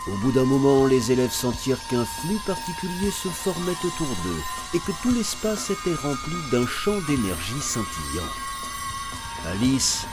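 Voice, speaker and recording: A man narrating an animated story from a script, as a storyteller. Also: medium pitch, moderate pace. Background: music under the voice.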